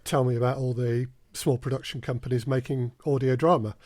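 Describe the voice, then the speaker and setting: Male interview guest, medium pitch, measured explainer with hesitant repeats, casual delivery, dry acoustic. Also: fairly even intonation, darkish tone, dry recording. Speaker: guest, audio drama producer.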